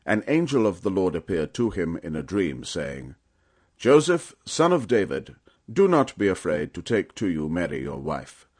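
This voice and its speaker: A man with a fairly low voice narrates scripture for an audiobook. His delivery is solemn and measured, with deliberate phrasing. The intonation is highly animated, swinging widely in pitch for dramatic weight.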